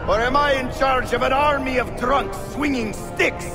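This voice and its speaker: A man playing a ruthless, sneering military commander in a video game, menacing and taunting, with loud music over his voice. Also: extremely high pitch, fairly even intonation, moderate pace. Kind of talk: dramatic video game acting.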